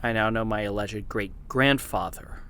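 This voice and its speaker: An actor playing an exhausted, obsessed male investigator in an audio drama, brooding and halting as he records his confessional log. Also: fairly low pitch, vocal fry, sharp tone, slight background noise. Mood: brooding, grave.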